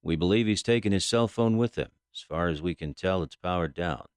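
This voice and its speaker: A no-nonsense fbi agent woman, really low voice, formal and clipped, radio play style. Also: a little fast, smooth, no room sound, studio quality. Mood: grave, firm. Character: stern, businesslike female FBI special agent. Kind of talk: audio drama acting.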